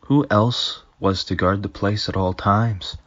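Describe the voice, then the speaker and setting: A man's voice: fairly low pitch, steady tone, unhurried, fairly bright tone, no room sound, muffled recording. A man reading his own fanfic aloud as dramatic storytelling, sometimes dropping into whispers. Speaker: fanfic reader.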